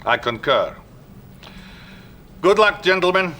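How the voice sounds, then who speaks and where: A formal, theatrical Soviet premier with a Russian accent in a game cutscene. His delivery is highly animated and forceful, grandiose and quick to anger. There is faint noise behind. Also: exaggerated voice. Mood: gracious, formal. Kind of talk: video game cutscene acting.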